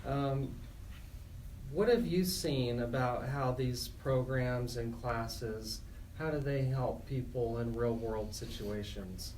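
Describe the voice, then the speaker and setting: A man hosting a radio talk show, speaking deliberately with pauses. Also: mid-range voice, darkish tone, far from the mic, a little hiss or hum, low-quality recording. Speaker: radio host and interviewer. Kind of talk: joke telling and interviewing on a radio talk show.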